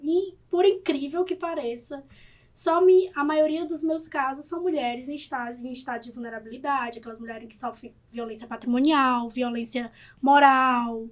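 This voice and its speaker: High-voiced woman with a Cearense accent. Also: normal speed. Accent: Cearense Brazilian Portuguese. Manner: expressive storyteller, playful and humorous. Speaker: family lawyer, podcast guest. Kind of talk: casual interview conversation.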